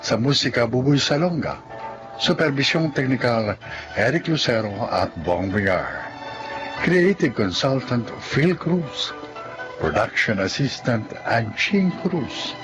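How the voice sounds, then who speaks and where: A man narrating and announcing a radio drama in a solemn, deliberate, scripted style. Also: medium pitch, animated, low-quality recording. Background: background music.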